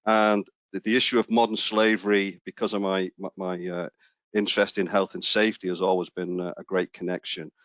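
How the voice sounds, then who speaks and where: A man's voice: low-pitched, flat delivery, a little fast, fairly bright tone, dry recording. A man, hosting a webinar interview, talking hesitantly with many restarts over a video call. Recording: dry lo-fi video call audio.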